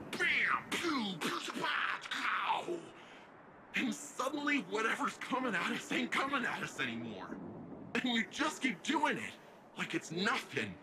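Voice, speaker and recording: Over clearly noisy game ambience comes a brash young sci-fi hero. His voice sits fairly high and swings lively up and down. He is playful, slangy and chatty, always ready to joke. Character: brash, upbeat, joking young futuristic guardian hero. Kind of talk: video game voice acting.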